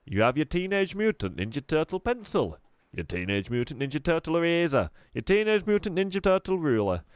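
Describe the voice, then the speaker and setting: An eager, insistent shopkeeper, as a man reading aloud puts him on. His voice sits a little raised and bounces with salesman's energy. He pushes every pitch hard. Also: big pitch swings, a little fast, smooth, no room sound, studio quality. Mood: eager salesy. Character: eager, pushy male shopkeeper.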